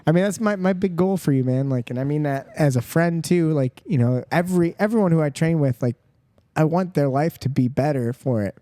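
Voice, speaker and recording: A man's voice: fairly high pitch, fairly quick, dark tone, no room sound. A man hosting a podcast, encouraging in casual chat, laughing often.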